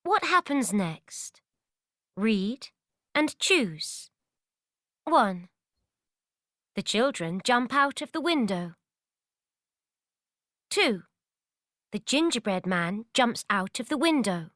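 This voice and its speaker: A woman narrating a scripted children's story for young learners in deliberate, simple phrases. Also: on the high side, sing-song, fairly bright tone, no room sound, clean studio sound.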